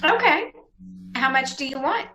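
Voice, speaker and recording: Woman acting a slow, swooping, scheming femme fatale. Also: high voice, lively intonation, sharp tone, a little hiss or hum, heavily acted. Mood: cold, scheming. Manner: coy, sugary, calculating. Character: scheming murderous bride, sugary and coolly flirtatious. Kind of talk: old-time radio mystery acting.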